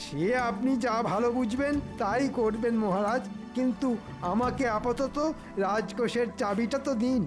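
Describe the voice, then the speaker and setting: A man's voice: very high-pitched, moderate pace. A man voicing a scheming, greedy cartoon minister in an oily, wheedling, theatrical way. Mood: fawning. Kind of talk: exaggerated comic cartoon acting. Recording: music behind the voice.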